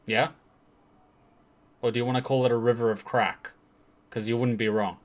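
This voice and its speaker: A man's voice: medium pitch, level tone, moderate pace. Man giving irreverent casual game commentary. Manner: irreverent, repeats words for emphasis. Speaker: host of a game story reaction.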